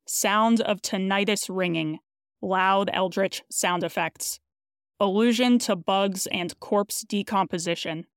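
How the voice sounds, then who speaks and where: A woman announcer reading scripted content warnings in a measured, list-like delivery. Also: medium pitch, clear, resonant tone, no room sound, studio quality. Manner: measured, listing.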